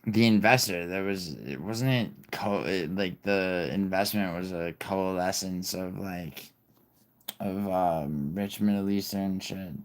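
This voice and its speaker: A man's voice: deep voice, steady tone, takes it slowly. A young man hosting a casual livestream, rambling, swearing and reading viewer chat aloud. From born in Italy, raised in New York City. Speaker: livestream host.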